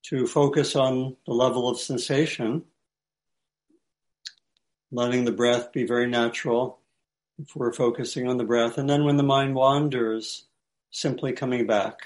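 A man teaching meditation, giving light guided instructions in short phrases with pauses between them. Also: medium pitch, steady tone.

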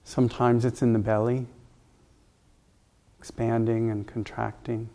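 A man leading a guided meditation as a teacher, speaking calmly and gently in unhurried, spaced instructions. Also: mid-range voice.

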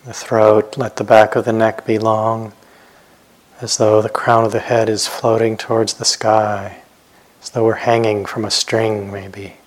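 Male meditation guide, lowish voice, very flat intonation, gentle instructional delivery with spacious pauses. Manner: gentle, unhurried, with long pauses between short phrases. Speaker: meditation teacher.

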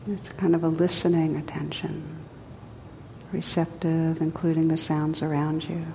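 A woman leads a guided meditation in a soft-edged, mellow voice that stays very level. She speaks gently and takes her time, leaving space between short phrases. The sound is reverberant, like a large hall, with a faint rumbling noise behind her. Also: flat delivery, unhurried. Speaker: meditation teacher.

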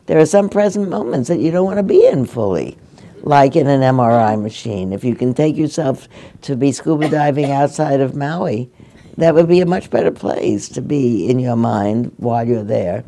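Older woman, meditation teacher, mellow and gentle, halting delivery. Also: a bass voice, animated. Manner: gentle, halting, restarts often. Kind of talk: dharma talk with audience questions. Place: meditation hall.

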